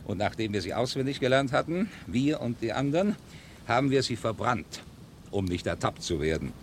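An actor playing a genial, composed old scholar who leads vagabonds in a German radio play. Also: male voice, medium pitch, lively intonation, moderate pace, slight background noise, close to an everyday voice. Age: older.

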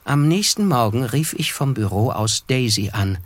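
A man playing a reflective writer who narrates a literary radio play in a measured way. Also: mid-range voice, animated, no room sound, close to an everyday voice. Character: wry, reflective male narrator, a struggling writer.

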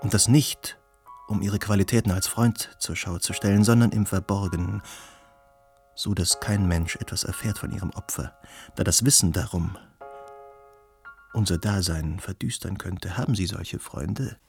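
An actor playing an eloquent, worldly and uncanny gentleman in a radio play, speaking formally and musingly in a husky voice. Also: deep voice, moderate pace, soft background music. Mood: earnest, reflective.

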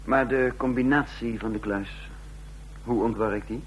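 An actor in a radio play playing a cool, wry and insolent secret agent with a self-possessed way of talking. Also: male voice, mid-range voice, fairly even intonation, normal speed, lightly acted. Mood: cool, businesslike. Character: cool, insolent male secret agent posing as an industrialist's son. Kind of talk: radio play acting with first-person narration. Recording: clear hum and noise, old radio recording.

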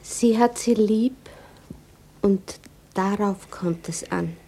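An actress playing a troubled, wistful woman with a secret love in a German radio drama, soft and introspective. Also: mid-range voice, slow. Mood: gently reassuring.

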